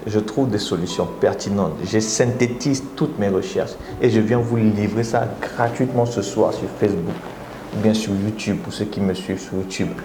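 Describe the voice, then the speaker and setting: A Congolese man with a Congolese French accent hosting a live motivational talk, coaxing his viewers and often jumping into high exclamations. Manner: animated, coaxing audience, rising into high exclamations. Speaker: motivational speaker and live host. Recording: echoey room with audible background noise.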